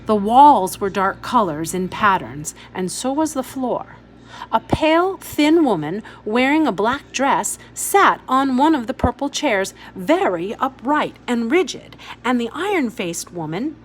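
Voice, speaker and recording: A woman's voice: a little high, slight background noise. A woman reading a story aloud expressively, as a teacher would for pupils. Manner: instructive, pausing to point out details.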